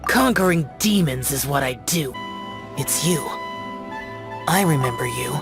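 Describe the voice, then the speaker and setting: A man's voice: mid-range voice, animated, measured pace, vocal fry. A man voicing a solemn, aloof immortal guardian spirit in a video game, speaking formally. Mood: grave, restrained. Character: stoic, brooding immortal male demon-slaying guardian spirit. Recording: music playing behind the voice.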